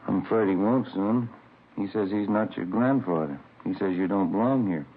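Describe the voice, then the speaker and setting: A man's voice: fairly low pitch, moderate pace, husky, phone-quality sound. A man playing an easygoing police detective questioning witnesses in a 1950s radio drama. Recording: thin crackly vintage radio recording.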